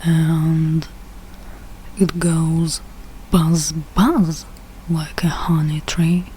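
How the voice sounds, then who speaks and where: Woman reading a kids rhyming bear story out loud, slow and soft-toned, bit of background noise. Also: low-pitched, flat delivery, low-quality recording. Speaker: read-aloud reader learning English. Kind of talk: slow read-aloud of a rhyming children's storybook.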